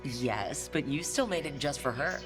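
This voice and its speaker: A woman's voice: a bass voice, animated, moderate pace. An actress playing a friendly, teasing young female team leader in a fantasy game, with a wry, encouraging manner. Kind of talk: natural game acting. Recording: game music behind.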